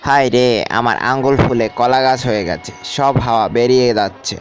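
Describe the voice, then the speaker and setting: A man performs a scheming cartoon bungler who is forever exasperated. He exclaims and announces each of his moves aloud in a mid-pitched voice, with light music underneath. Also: normal speed, close to an everyday voice. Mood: dismayed. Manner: exclaiming, narrates own actions aloud. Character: bumbling scheming male hunter of a mouse, easily exasperated. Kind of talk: Bangla cartoon comedy dub.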